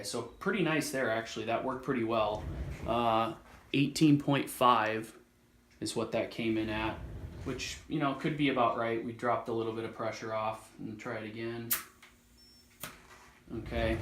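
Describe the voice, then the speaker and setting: Male product reviewer, medium pitch, casual and unscripted, thinks out loud, recorded in an echoey space. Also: fairly even intonation, unhurried, slight background noise. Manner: casual, hesitant, thinking aloud.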